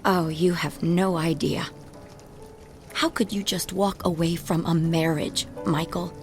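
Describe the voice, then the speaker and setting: A wounded, sharp-tongued ex-wife played by a woman in a radio drama. Her voice sits a little low and her retorts are sardonic and guarded, with soft music underneath. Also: steady tone, unhurried, close to an everyday voice. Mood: bitter, angry.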